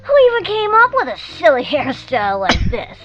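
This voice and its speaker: A woman's voice: high voice, big pitch swings, brisk, bright, forceful tone, heavily acted. A woman voicing an athletic, brash, grumbling pony actress in a scripted comic-dub interview. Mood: casual grumbling. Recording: dull sound with music behind.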